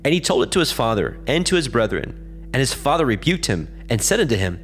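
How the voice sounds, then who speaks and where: A man narrating scripture as a scripted reading, in a measured, solemn storytelling manner. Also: mid-range voice, sing-song, soft background music.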